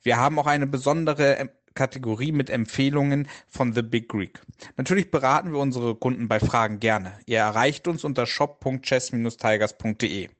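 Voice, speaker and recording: A man reading a sponsor ad at the start of a podcast, plain and even, with a small slip. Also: medium pitch, normal speed. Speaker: sponsor ad reader. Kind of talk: read-out podcast ad.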